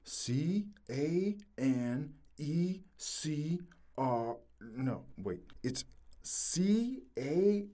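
A woman's voice: sits low, very animated, drawn-out words, mellow, heavily acted. A woman playing an antsy, scolding small-town husband in a comic audio drama, fussy and folksy with a Southern US accent. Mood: nervous, excited.

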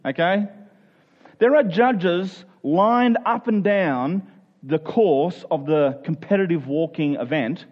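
High-voiced man preaching, slightly dull recording. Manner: earnest, rhetorical questions, acts out imagined objectors. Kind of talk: sermon, conversational Bible teaching. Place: speaking live to a congregation.

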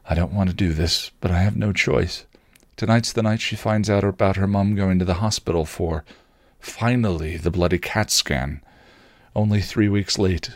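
A weary, sardonic vampire acted out by a man reading fan fiction. The voice is on the low side and dryly recorded. He sounds cool and bitter, like someone wounded who answers with mockery. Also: moderate pace, no room sound, clean studio sound. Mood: weary, resigned.

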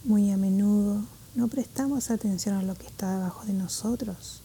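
A woman guiding a meditation in a calm, gentle, measured way, with a soft voice that turns breathy at phrase ends. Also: medium pitch. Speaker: meditation guide. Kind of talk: guided meditation narration.

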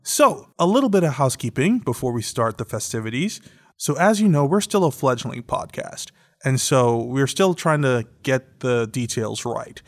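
A man's voice: medium pitch, very animated, moderate pace. A man co-hosting a podcast in a casual, bantering style, playfully argumentative. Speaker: podcast co-host.

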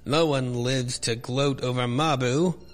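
A boastful warrior villain, played by a man reading a comic aloud. The delivery is slow and declamatory, full of menace, at a middling pitch over faint music. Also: steady tone, lightly acted. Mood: vengeful.

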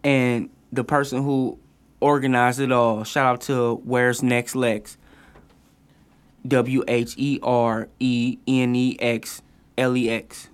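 A young man from St. Louis co-hosts a podcast in easy, slangy conversation. He shouts out local artists and spells their handles out slowly, one letter at a time. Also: medium pitch, flat delivery, clean tone. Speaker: podcast co-host. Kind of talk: casual chat.

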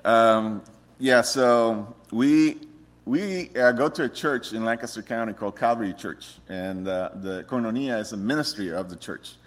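A man's voice: mid-range voice, moderate pace. Man sharing his testimony. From Costa Rica. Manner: relaxed, joking, many restarts. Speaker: missionary speaker. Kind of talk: personal testimony to a congregation.

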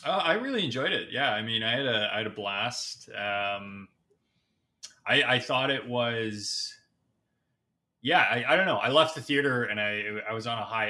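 A man's voice: lowish voice, bright, forceful tone. A man speaking as a podcast guest, giving a casual film review and searching for his words.

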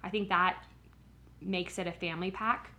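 A woman's voice: lowish voice, steady tone, normal speed, sharp tone. A woman co-hosting a podcast, chatting casually about snacks and reacting warily and dramatically. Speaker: podcast co-host. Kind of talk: casual snack-tasting chat.

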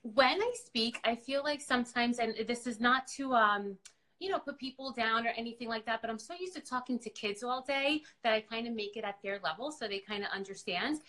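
A woman's voice: fairly high pitch, normal speed, fairly bright tone. A woman whose first language is Spanish, chatting and explaining as a counselor guest in a casual interview.

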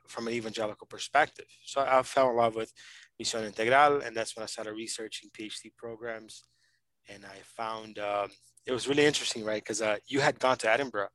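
Man from Brazil, thirties, earnest casual talk, dry close sound, mid pitch. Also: fairly bright tone, no echo, low-quality recording. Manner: earnest, fast, many fillers and restarts. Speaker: podcast host, PhD student. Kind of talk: casual conversational interview.

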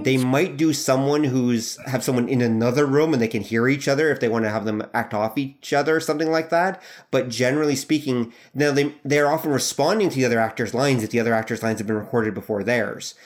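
A man speaking as a podcast guest, explaining things casually and emphatically. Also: mid-range voice. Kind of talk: casual explanatory interview talk.

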